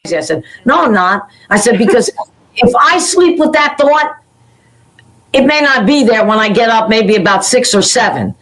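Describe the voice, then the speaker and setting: A woman's voice: on the high side, lively intonation, normal speed, a bit bright, rough recording. Middle aged lady hosting an interview show, chatty, tells little stories. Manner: chatty, storytelling, laughs easily. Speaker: talk show host. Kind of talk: casual interview chat.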